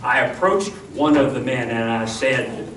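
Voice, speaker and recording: A man's voice: medium pitch, expressive, a little slow, fairly bright tone. An actor playing a hapless, exasperated town marshal narrating his story in a comic old-time radio show. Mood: rueful storytelling. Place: live show in a room before an audience. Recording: roomy, distant live stage recording, noisy.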